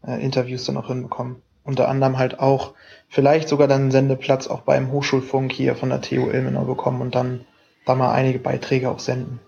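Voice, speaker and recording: A young man co-hosting a casual podcast, halting, with many fillers and restarts, and a husky voice. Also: medium pitch, monotone, warm tone. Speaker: podcast co-host. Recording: dull lo-fi home podcast recording.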